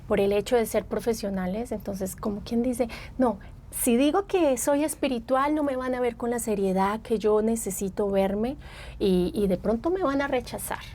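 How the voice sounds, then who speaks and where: A middle-aged woman from Medellín with an Antioquian Colombian accent, speaking as a psychologist guest in a relaxed podcast chat, reflective and quick to laugh. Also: fairly high pitch, a little hiss or hum. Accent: Antioquian Colombian Spanish. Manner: warm, reflective, laughs often, uses fillers. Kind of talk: casual podcast conversation.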